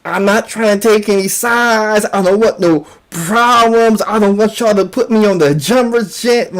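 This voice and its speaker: A man playing a timid, over-apologetic YouTuber who pleads and hedges, in a mocking commentary skit. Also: high-pitched. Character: timid, pleading male YouTuber, nervously tiptoeing around trouble.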